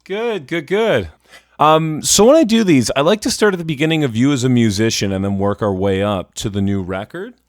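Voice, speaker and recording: A man's voice: mid-range voice, lively intonation, brisk. A Canadian man hosting a casual interview, enthusiastic and exclamatory. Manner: enthusiastic, exclamatory fan talk. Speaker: interview host.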